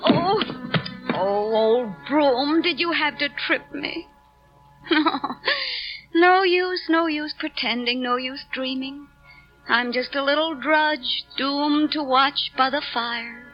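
A meek, sad young servant girl speaks softly and pleads. Her high voice moves slowly. A dull, lo-fi vintage radio sound carries music underneath. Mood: wistful. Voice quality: soft, pleading. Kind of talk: 1940s radio acting.

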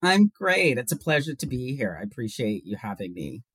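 A man's voice: mid-range voice, very animated, moderate pace, a bit bright, husky, dry recording, studio quality. Man with an unusual mixed accent. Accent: mixed British and international. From Hong Kong. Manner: thoughtful, hesitant with many fillers, laughs easily. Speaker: HR executive guest. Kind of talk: conversational interview answers.

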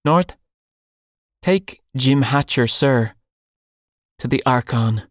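A man's voice: mid-range voice, lively intonation, slow, no echo, clean studio sound, voice pushed up. A man voicing a stern, cold young pirate captain who speaks in clipped commands. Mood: commanding.

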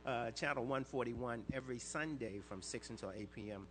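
An older man, a longtime radio disc jockey, answering interview questions with a fairly light voice and quick, inflected phrasing. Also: mid-range voice, fairly bright tone, slight background noise. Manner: inflects words, talks in quick bursts with restarts.

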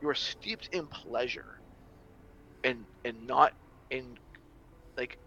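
A man's voice: mid-range voice, slow pace, gravelly, bright, forceful tone, highs cut off, a little hiss or hum. A young adult man in casual conversation, rambling through ideas with many restarts and fillers. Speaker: social media creator on biblical masculinity.

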